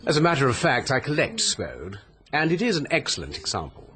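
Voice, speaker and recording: An actor playing a smug, supercilious nephew with a British accent in a radio mystery drama. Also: male voice, medium pitch, huge pitch swings, brisk, bright, forceful tone.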